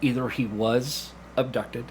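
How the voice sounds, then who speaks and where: A man's voice: mid-range voice, fairly even intonation, measured pace, a little mellow. Man explaining in conversation, background noise. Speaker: podcast guest, actor and hypnotist. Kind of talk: conversational interview.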